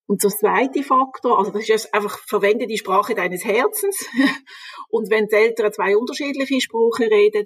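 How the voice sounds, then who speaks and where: A woman speaks as an expert guest in an interview. Her voice is husky and her pitch sits in the middle range. She explains things calmly, and the recording is dry and close. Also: no room sound, low-quality recording. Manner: calm, explanatory, advising. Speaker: reading and language development expert. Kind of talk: advice in a conversational interview.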